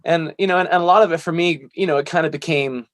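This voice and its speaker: A man's voice: on the high side, fast, no room sound, studio quality. A man being interviewed as a musician, chatting casually in a rambling, self-deprecating way.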